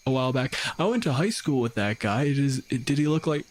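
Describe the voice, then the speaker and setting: A man voicing rambling, unhelpful townsfolk in a tabletop game, with vague, halting, gossipy talk. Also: mid-range voice, expressive, slight background noise, pitched above the performer's own voice, natural voice. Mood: vague, unsure. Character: rambling, unhelpful small-town locals.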